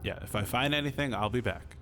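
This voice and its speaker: A man's voice: mid-range voice, fairly quick, a bit bright, slight background noise. A man doing a casual amateur voice-over as the player's hero in a video game.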